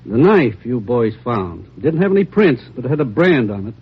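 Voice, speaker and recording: A man's voice: medium pitch, big pitch swings, normal speed, slightly rough. An actor playing a businesslike male crime lab technician in a 1950s radio drama. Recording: dull 1950s radio broadcast.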